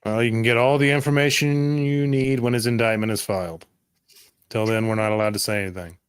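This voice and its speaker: A man playing a curt, impatient police officer in a tabletop roleplay, gruff and official. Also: medium pitch, fairly even intonation, fairly quick, no echo, lightly acted. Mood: grudging, firm. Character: curt, impatient male police officer guarding crime scene.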